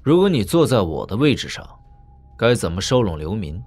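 A composed, expressive young lord. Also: male voice, fairly low pitch, no room sound, soft background music. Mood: calm, probing. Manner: measured, authoritative. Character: composed, calculating young male lord in command. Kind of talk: audio drama acting.

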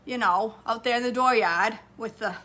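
A woman doing an exaggerated impression of a rural Maine woman, speaking in a loud, drawling, folksy Maine accent. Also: on the high side, moderate pace, husky. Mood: hammy.

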